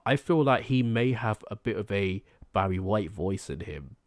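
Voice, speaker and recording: Sex: male. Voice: low and lively. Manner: halting. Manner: hesitant, halting with many ums. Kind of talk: casual conversation.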